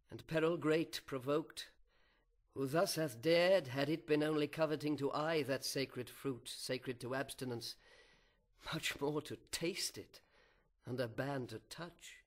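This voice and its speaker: A man playing a grave, resigned, devoted husband in a verse epic, speaking in a solemn, measured way. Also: a little high. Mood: grave reproach.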